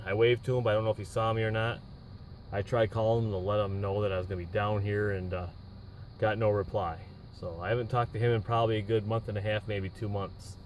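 A man's voice: lowish voice, slight background noise. A tired American man in his forties, a truck driver, chatting casually and rambling from inside his truck cab into a phone camera. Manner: rambling, relaxed, many filler pauses. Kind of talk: casual vlog chat. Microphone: phone or dash camera at arm's length.

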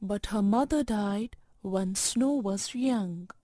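A woman reading a scripted children's fairytale in deliberate short phrases, as a storyteller to young children. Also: medium pitch, no echo, clean studio sound. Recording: loud music and effects over voice.

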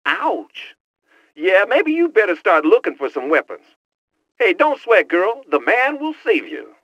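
A man's voice: sits high, wildly swooping pitch, a little slow, fairly bright tone, no room sound, dull audio, heavily acted. An actor playing a boastful young male hacker who talks in teasing street slang over a headset in a video game. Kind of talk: video game cutscene voice acting.

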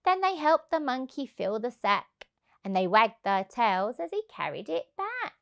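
A woman, around her thirties, reading a children's rhyming storybook aloud in an expressive, rhythmic way. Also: on the high side, unhurried, creaky. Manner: animated, rhythmic, emphatic delivery. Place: indoors on a sofa. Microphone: clip-on lapel mic.

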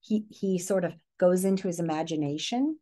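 A middle-aged woman with an American accent reads a picture book aloud, expressively, pausing to put questions to young listeners like a teacher. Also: on the low side, warm tone. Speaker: read-aloud presenter. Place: living room, indoors.

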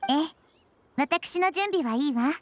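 High girlish little nature goddess. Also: lively intonation, unhurried, a little hiss or hum. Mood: composed. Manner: composed, refined feminine phrasing. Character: childlike little nature goddess, kind, wise and composed. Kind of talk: Japanese anime game voice acting. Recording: game music behind.

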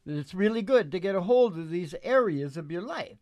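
An older man hosting a live show, chatting casually and haltingly between songs in a folksy, joking way. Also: high-pitched, animated, darkish tone, no room sound. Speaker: host and musician of a live radio show. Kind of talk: casual rambling stage chat between songs. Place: small indoor stage with curtain backdrop. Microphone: stage microphone on a stand.

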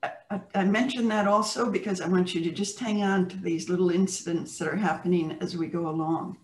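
An older woman with an American accent, talking in a measured, reflective way as an interview guest on a video call. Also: on the low side, steady tone. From United States. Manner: measured, thoughtful, with pauses and restarts. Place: home room with plants, indoors. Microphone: video call webcam. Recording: roomy video-call audio from a distant mic.

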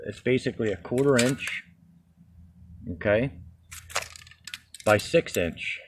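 A man's voice: medium pitch, slow pace, a little hiss or hum. A man walking through a steel-fabrication job outdoors while filming one-handed on a phone, talking practically and step by step. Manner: practical, explains step by step with fillers. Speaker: contractor showing a fabrication method. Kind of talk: casual how-to walkthrough. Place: backyard, outdoors. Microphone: handheld phone.

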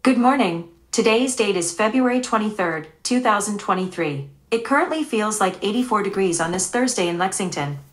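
A woman's voice: medium pitch, expressive, normal speed, few pauses, a little hiss or hum. A synthetic woman's voice, reading out announcements as a voice assistant. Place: played from a smart speaker in the room.

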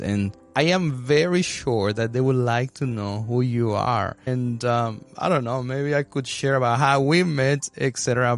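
A man hosting a podcast in a casual, conversational way. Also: mid-range voice. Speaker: podcast host.

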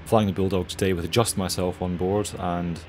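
A man's voice: low-pitched, fairly even intonation, moderate pace, background noise. A young man giving casual pilot commentary over his flight. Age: young adult.

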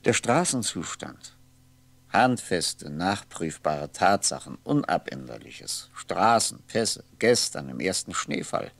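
Weary, gravelly, brooding lonely man. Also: low-pitched, sing-song, no room sound, heavily acted. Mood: brooding, dreamy. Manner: brooding, halting, musing to himself. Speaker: radio play actor. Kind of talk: 1960s German radio drama monologue, introspective.

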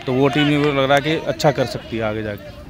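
Young man, mid voice, calm reasoned vox pop, outdoors. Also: mid-range voice, fast talker, crowd noise. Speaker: interviewee. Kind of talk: casual opinion. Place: outdoor sports ground, seated. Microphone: handheld mic.

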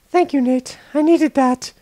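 A woman's voice: sits high, takes it slowly, mellow, no echo. A woman voicing an anxious, exasperated young woman in a parody game dub. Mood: fretful.

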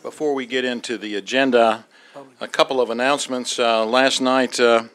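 Middle-aged man, mayor presiding over a council session; halting, filler-heavy, formal delivery on a desk mic. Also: medium pitch, fairly even intonation, a bit bright. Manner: halting, many "uh" fillers, formal procedural phrasing. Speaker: mayor chairing a city board meeting. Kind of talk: chairing a public meeting. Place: large council chamber, seated at dais. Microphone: desk microphone.

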